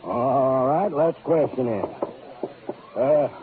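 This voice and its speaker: An actor playing a dim-witted, blustering police officer in a 1950s radio drama, slow to catch on. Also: male voice, medium pitch, natural voice. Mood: dim, sheepish. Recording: noisy, tinny old radio recording.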